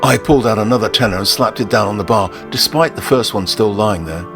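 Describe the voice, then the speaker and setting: A man giving a dramatic, wry first-person narration of a short story, expressive and theatrical. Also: lowish voice, fast, music in the background.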